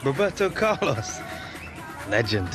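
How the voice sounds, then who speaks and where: An actor playing a confident, easygoing young British footballer with big dreams in a video game cutscene. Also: male voice, medium pitch, big pitch swings, unhurried. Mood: wistful, admiring. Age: young adult. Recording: music behind the voice.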